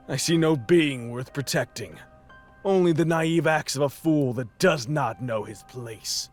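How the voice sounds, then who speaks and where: A man's voice: fairly high pitch, slow. An actor playing a haughty, scornful male spirit in a visual novel, speaking with cold, imperious disdain. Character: haughty, scornful dark-haired male spirit, impatient brother. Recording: music behind the voice. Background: game music.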